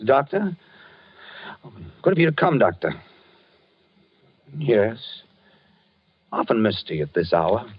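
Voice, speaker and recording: Husky old-radio gentleman, dry and close, very slow and swinging in pitch. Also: very animated, dry recording, full character voice. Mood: dreamy, formal. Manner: formal, polite, measured sleep-talk. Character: gentleman calmly preparing for a duel in his sleep. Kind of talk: 1940s radio acting. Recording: dull vintage radio recording.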